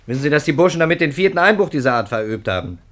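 Sharp police interrogator, animated delivery. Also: male voice, on the high side, rapid-fire. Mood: accusing. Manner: insistent, sardonic questioning. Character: probing, sarcastic male police detective leading an interrogation. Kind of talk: German radio play acting.

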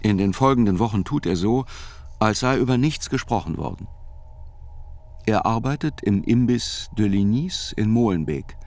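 A man reading a scripted literary chronicle as narrator, in a measured, deliberate and articulate way. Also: lowish voice, expressive, a little mellow.

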